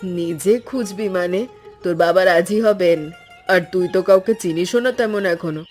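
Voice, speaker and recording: A woman's voice: on the low side, moderate pace, a little mellow, soft background music, natural voice. A woman voicing a worried, ailing elderly mother in a cartoon story. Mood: anxious, resigned. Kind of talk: animated story voice acting.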